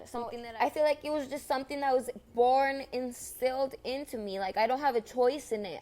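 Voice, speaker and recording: A young woman with a slightly high voice speaks in a long, impassioned monologue. Spanish was her first language, and she talks earnestly, repeating herself and pressing each point home with emphasis. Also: darkish tone.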